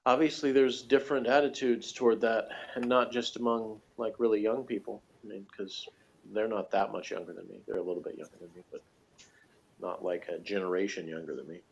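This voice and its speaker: A man's voice: on the low side, fairly even intonation, a little fast, creaky, dull audio. A man co-hosting a TV review show in casual discussion, explaining things in a rambling way.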